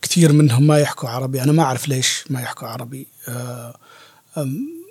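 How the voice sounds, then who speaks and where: A man's voice: mid-range voice, lively intonation, quick pace, gravelly, darkish tone, husky, dry recording, studio quality. A man hosting an interview, chatting casually with his guest. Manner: conversational, many fillers and restarts. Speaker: host.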